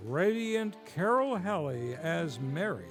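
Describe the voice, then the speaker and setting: High-pitched, unhurried male radio announcer. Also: big pitch swings. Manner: showy, grandly enunciated. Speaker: host and announcer. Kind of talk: vintage radio show announcing, scripted. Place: theater stage, live show. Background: faint music.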